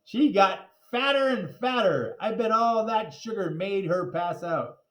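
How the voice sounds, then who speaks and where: A man's voice: high voice, expressive, measured pace, a bit bright, far from the mic, pitched above the performer's own voice. A man voicing a bossy, know-it-all second-grade girl in a storybook, scolding her classmates. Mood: tattling. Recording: echoey, roomy sound.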